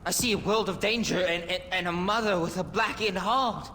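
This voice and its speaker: An actor playing a jittery, nerdy stoner posing as a mystic in a video game, excitable and mock-mystical. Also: male voice, high voice, normal speed, reverberant, big-room sound, slight background noise. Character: jittery stoner man, fake mystic sidekick.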